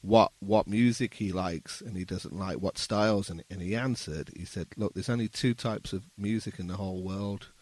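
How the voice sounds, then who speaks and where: A man speaking as a musician guest in a casual interview, explaining his thoughts in a halting, thoughtful way. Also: deep voice, darkish tone.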